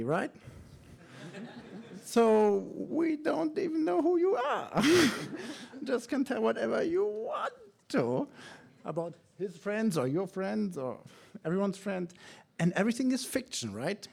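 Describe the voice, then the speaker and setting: A man's voice: sits high, wildly swooping pitch, takes it slowly, vocal fry, darkish tone. A man hosting a live event, making casual off-the-cuff remarks in a playful, wry way and stumbling over his words. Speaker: event host. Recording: live event with audience noise. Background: faint crowd.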